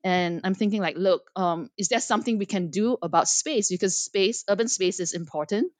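A woman's voice: medium pitch, normal speed, no echo. A woman from Singapore in her forties, an author answering interview questions thoughtfully, with many ums and restarts. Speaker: guest author. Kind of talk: academic interview.

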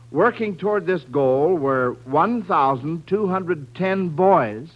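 An American man hosting a mid-century radio quiz in an encouraging, avuncular manner. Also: on the high side. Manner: encouraging, avuncular, addresses children kindly. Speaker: quiz show host. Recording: dull vintage radio broadcast recording.

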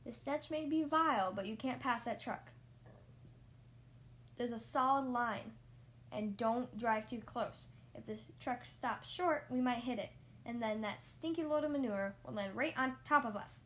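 A strict, bossy rodent driving instructor from a children's storybook, acted out by a woman. He barks orders and scolds in a very high voice, with no patience for excuses. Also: measured pace, a bit bright, slight background noise. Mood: scolding, alarmed. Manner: barking commands, scolding.

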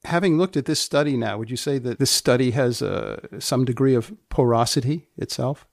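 A man hosting a podcast, reading scripted lines and asking questions with mock-solemn, deadpan humour and theatrical pauses. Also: medium pitch, creaky, dry recording, studio quality. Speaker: podcast host. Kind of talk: scripted narration and interviewing.

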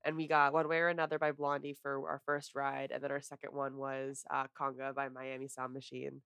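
A young woman with a stuffy nose, chatting casually as a podcast co-host, talkative and quick to laugh. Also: extremely low pitch, level tone, fairly bright tone, smooth, dry recording, studio quality. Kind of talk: casual chat.

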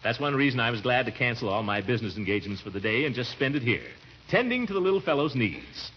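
A man acts out a pompous American uncle from a classic radio sitcom. His pitch swoops widely as he blusters through theatrical exclamations. The old recording is dull, with a faint hiss of noise. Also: sing-song, fast talker, close to an everyday voice. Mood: falsely sweet. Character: blustering, pompous middle-aged uncle and guardian. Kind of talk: 1940s-50s radio comedy acting.

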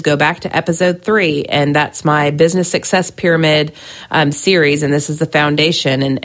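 A woman hosting her own podcast, giving chatty business advice with frequent ums while getting over a cold. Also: low-pitched. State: recovering from a cold, scratchy throat. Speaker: podcast host. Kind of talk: casual solo podcast advice.

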